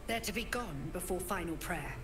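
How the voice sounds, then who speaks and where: A woman's voice: on the low side, animated, normal speed, noisy background. An actress playing a cold, ruthless woman druid leader who speaks in a solemn, commanding way, from a video game. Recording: game audio with music underneath.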